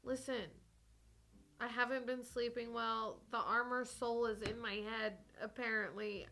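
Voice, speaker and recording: Bright, sharp-voiced woman with creaky edge. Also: fairly high pitch, flat delivery, measured pace, a little hiss or hum. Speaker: tabletop game player. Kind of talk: casual in-character banter at a game table.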